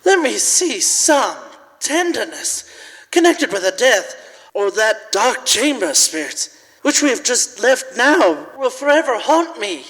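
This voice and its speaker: A man plays a frightened old miser in a Victorian radio drama, begging a spirit for mercy. His voice is highish and swings dramatically, with halting, deliberate delivery. The hall rings around every word. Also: big pitch swings, a bit bright, full character voice. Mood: troubled, pleading. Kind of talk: live radio-play acting of Victorian story. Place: live performance in a large church. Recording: reverberant church hall, live stage recording.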